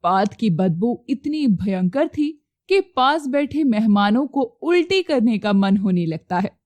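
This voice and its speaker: A woman narrating a scripted moral story as an expressive storyteller. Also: on the high side, measured pace, no room sound.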